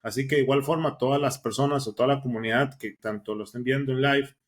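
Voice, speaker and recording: A man's voice: mid-range voice, steady tone, quick pace. A man hosting a podcast, welcoming listeners enthusiastically with frequent "eh" fillers. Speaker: podcast host. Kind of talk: casual podcast chat.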